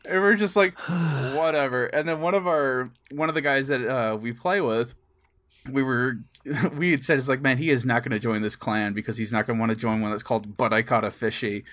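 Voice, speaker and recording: A man co-hosts a casual gaming podcast with a mid-range voice. He tells stories in an animated way, chuckling as he goes and acting out bits of dialogue. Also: medium pitch, fairly quick. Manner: chuckles through stories, acts out quoted dialogue. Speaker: podcast co-host.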